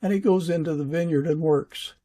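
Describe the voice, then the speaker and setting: A man hosting a podcast in a casual interview style, talking haltingly with pauses mid-sentence. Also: on the high side, mellow. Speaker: podcast host.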